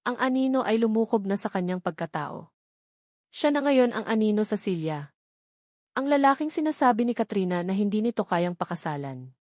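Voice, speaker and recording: Female Filipino storyteller, mid-pitched, close dry sound, scripted dramatic romance narration. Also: a little mellow, no room sound.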